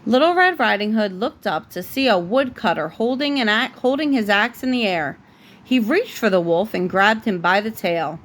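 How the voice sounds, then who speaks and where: Mom reading a bedtime story out loud, expressive, medium pitch, faint noise in the background. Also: female voice, moderate pace. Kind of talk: bedtime storybook read-aloud.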